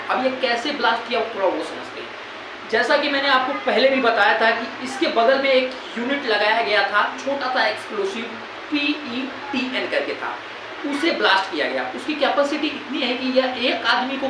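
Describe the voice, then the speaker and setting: A woman with an Indian accent presenting an informal current-affairs explainer in an explanatory, repetitive way. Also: on the high side. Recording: very echoey room, loud background noise.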